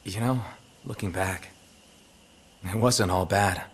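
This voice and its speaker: An actor playing a resolute young prince in a fantasy game, plainspoken and earnest. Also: male voice, deep voice, slow. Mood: wistful, grateful. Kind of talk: dramatic video game voice acting. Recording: faint background ambience noise.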